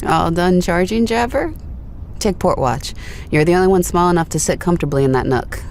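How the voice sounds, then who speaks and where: A woman's voice: fairly low pitch, animated, fairly quick, darkish tone, slight background noise. A woman playing a weary, wry space explorer in a science fiction audio drama, speaking tersely.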